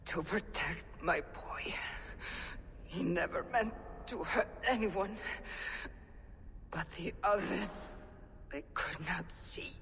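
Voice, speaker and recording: A woman's voice: on the low side, takes it slowly, pauses often, fairly bright tone, in a large hall, a little hiss or hum. A woman playing a guarded, protective farm mother in a video game drama. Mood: grieving, hushed.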